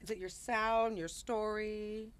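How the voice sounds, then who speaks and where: A woman hosts a podcast in a relaxed, chatty way and keeps encouraging her guest. She uses casual slang, and her voice has a mid-range pitch. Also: slow. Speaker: podcast host. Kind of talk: casual interview chat.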